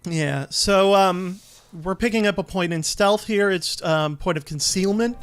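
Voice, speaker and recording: A man giving casual, explanatory commentary while playing a video game, a bit halting as he goes. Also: sits high, fairly bright tone, clear, resonant tone, faint music behind. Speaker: let's play host and player.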